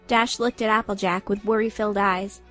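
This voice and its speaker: A woman's voice: medium pitch, fairly even intonation, brisk, a bit bright, soft background music. A woman reading scripted, dramatic audiobook narration.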